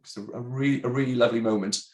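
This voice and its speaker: A congested man with a chatty, halting manner, a sales director presenting a webinar. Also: mid-range voice, steady tone. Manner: chatty, many ums and restarts. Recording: roomy echo.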